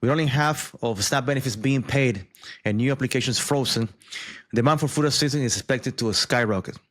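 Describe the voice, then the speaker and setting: A man's voice: medium pitch, fairly quick, a bit bright. Man giving casual news commentary. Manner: conversational, with asides and repeated words.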